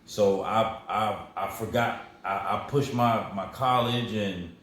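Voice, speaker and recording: A man in his mid-forties talks through his life in a loose, rambling way, often restarting his words. His voice sits on the low side. The room rings with heavy echo under a faint hiss of noise. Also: steady tone, slow pace. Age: 40s. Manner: rambling, repeats words, warm and proud about family. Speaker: guest. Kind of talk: casual storytelling interview.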